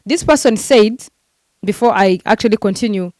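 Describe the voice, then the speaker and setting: A woman's voice: mid-range voice, moderate pace, dark tone. A chatty woman rattles through football transfer gossip in a casual vlog, full of fillers and direct asides to her viewers. She has a middle-range voice and sounds like an exasperated fan. The sound is dry and close, with no room echo. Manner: exasperated, venting as a frustrated fan. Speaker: football transfer news host.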